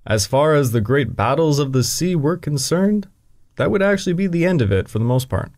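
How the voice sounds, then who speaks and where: Brief: male history podcast narrator, animated delivery, dry humor in asides. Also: a little high, fairly quick. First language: English. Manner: dry asides breaking into the reading.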